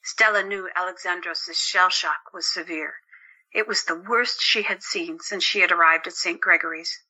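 A woman's voice: fairly low pitch, moderate pace, bright, forceful tone, poor audio. A woman narrating a scripted story as the narrator of an audio drama.

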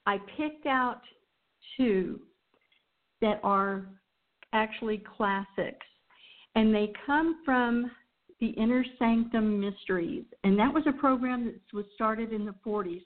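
A woman's voice: medium pitch, slow. Tinny phone-like woman hosting casually. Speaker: show host. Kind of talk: casual chat introducing old radio programs. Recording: tinny, phone-like band-limited sound.

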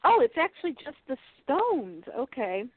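A woman's voice: sits high, sing-song, dry recording. A woman with a stuffed-up nose chatting casually while reviewing beauty products and reading package text aloud, often restarting her sentences. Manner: chatty, halting restarts, light laughs. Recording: tinny phone-like line, dry.

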